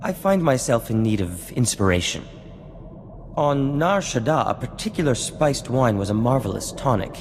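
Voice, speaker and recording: An actor playing a vain, charming scientist in a video game, talking in grandiose, courtly phrases. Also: male voice, medium pitch, sing-song, moderate pace, big-room sound. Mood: wheedling, courtly. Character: flamboyant, vain, charming male scientist and self-styled genius. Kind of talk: scripted video game acting. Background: music.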